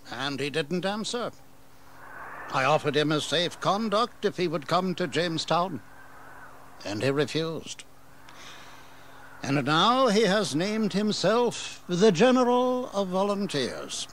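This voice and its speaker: An older colonial governor in a history audio drama. He speaks slowly in a fairly high voice, stately, weary and proud. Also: animated, fairly bright tone, a little hiss or hum. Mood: weary, reflective.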